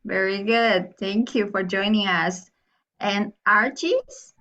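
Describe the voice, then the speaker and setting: A woman's voice: medium pitch, takes it slowly, pushed and bright. A woman, the club president, welcoming guests and hosting an online meeting in a friendly way, often laughing. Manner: friendly, laughs between remarks. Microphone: video call audio. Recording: dull lo-fi call audio.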